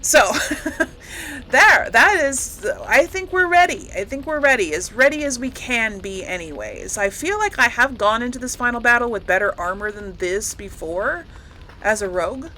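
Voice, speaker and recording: A woman's voice: a little high, animated, moderate pace, sharp tone, a little hiss or hum, rough recording. A woman giving casual, rambling commentary as she plays a game, often correcting herself and lightly amused. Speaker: gaming host.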